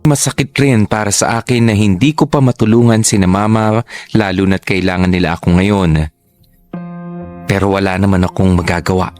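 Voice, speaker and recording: An actor in a Tagalog radio drama playing a troubled, regretful adult son in a monologue. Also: male voice, deep voice, fairly even intonation, normal speed, a little mellow, soft background music, natural voice.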